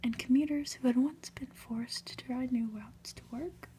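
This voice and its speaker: A woman reads a storybook aloud for ASMR in a soft, breathy, gentle whisper, with deliberate, unhurried phrasing. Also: high voice, steady tone, slight background noise. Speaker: ASMR reader.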